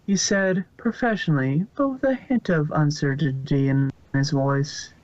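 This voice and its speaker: A man's voice: a little high, unhurried, darkish tone, dry recording. A man narrating fan fiction as a scripted story reading. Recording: muffled, dry lo-fi recording.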